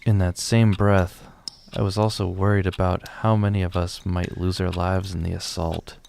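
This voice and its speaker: A man playing a weary, determined father who leads a rebellion, narrating his story gravely and earnestly in an audio drama. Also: sits low, little pitch movement, normal speed, a little mellow, slight background noise. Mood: reflective, grave. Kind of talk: scripted first-person narration and audio drama dialogue.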